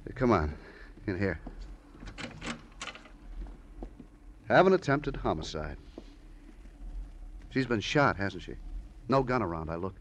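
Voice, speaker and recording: Fidgety, animated nosy wise guy. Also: on the low side, normal speed, slight background noise. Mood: flippant, eager. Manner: excitable, rambling, flippant. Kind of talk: 1940s-50s radio drama acting.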